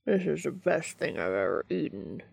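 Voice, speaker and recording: A woman voicing a tired, earnest, awkward young man in an audiobook, speaking softly and plainly. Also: low-pitched, sing-song, moderate pace, creaky, breathy edge, full character voice. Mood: blissful.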